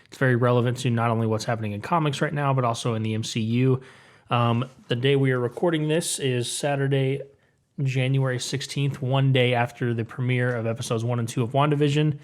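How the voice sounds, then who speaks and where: A man's voice: medium pitch, fairly even intonation, brisk, darkish tone. A young adult man co-hosting a comic book podcast, chatty and upbeat, laughing often in casual talk. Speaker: podcast co-host. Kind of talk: casual chat.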